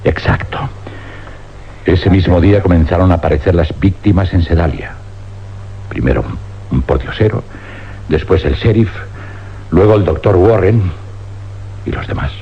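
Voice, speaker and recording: An actor playing a weary older scientist in a suspense radio drama, speaking with grave deliberation and dramatic pauses in an accent from Spain. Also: male voice, deep voice. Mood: dark, resigned. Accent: Spanish, from Spain. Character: weary older scientist confessing an uncanny experiment. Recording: dull, noisy old radio recording with hum.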